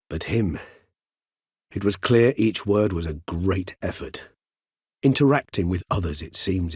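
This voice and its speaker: A man's voice: deep voice, husky, no room sound, clean studio sound, heavily acted. An actor voicing a cultured older male vampire in a video game, speaking formally and precisely. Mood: grave. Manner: erudite, formal, measured, wordy phrasing. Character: cultured, mild-mannered older male vampire, learned and courteous. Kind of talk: theatrical video game dialogue.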